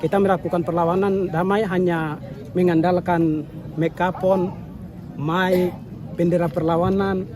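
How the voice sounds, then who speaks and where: A man with a Papuan Indonesian accent giving an interview statement as an activist leader, haltingly, with broken-off words. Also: high voice, flat delivery. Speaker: organisation chairman, activist. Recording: outdoor interview with clear traffic noise.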